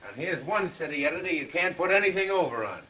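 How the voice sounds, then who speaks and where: An actor playing a gruff, skeptical newspaper editor in a 1930s film, curt and given to barking orders. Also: male voice, on the high side, expressive, fast talker. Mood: curt, dismissive. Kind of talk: 1930s Hollywood film acting. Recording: muffled 1930s film soundtrack.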